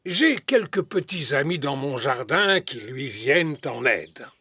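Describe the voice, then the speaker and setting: A man's voice: mid-range voice, very animated, a little slow, pushed and bright, husky, no echo, heavily acted. A man voicing a jolly old grandfather pig who explains things heartily in a children's cartoon. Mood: jolly, explaining. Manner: hearty, chuckling, savouring explanations.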